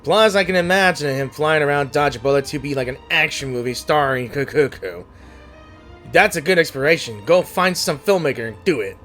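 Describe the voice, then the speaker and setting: A man's voice: fairly high pitch, brisk, fairly bright tone, faint music behind. A man streaming a game with casual, jokey, reactive commentary. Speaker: gaming streamer.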